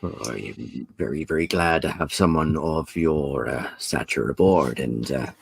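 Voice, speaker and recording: Guy playing gruff pirate goblin skipper, super deep n husky, polite old sea dog talk, tabletop game. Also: normal speed, heavily acted. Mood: courteous, curious. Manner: old-time sailor's turns of speech. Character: gruff sky pirate goblin captain, courteous.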